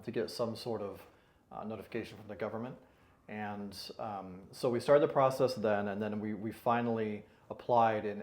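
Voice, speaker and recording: A man's voice: fairly low pitch, a little mellow, reverberant. A man being interviewed in an office, answering casually with many fillers and restarts. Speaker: interviewed client. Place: consulting firm office.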